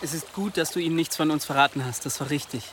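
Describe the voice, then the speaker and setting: An actor playing a secretive, pious young lover in a German audio drama, speaking in an earnest, pleading, hushed way. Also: male voice, a little high, fast, over music, close to an everyday voice. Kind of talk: German audio drama acting, intimate dialogue.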